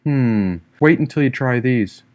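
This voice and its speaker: A man's voice: mid-range voice, animated, unhurried, darkish tone, muffled recording, lightly acted. A man voicing a free-spirited, teasing young woman in an audiobook, with a breezy, irreverent manner. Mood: easygoing, musing.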